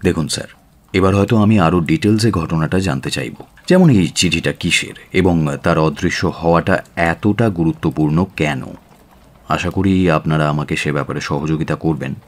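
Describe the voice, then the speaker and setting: An actor playing a cool, self-assured detective in a radio drama, courteous but firm and composed. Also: male voice, a bass voice, normal speed. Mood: calm, probing. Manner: composed, courteous, firm, drops in English phrases. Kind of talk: Bengali radio drama acting.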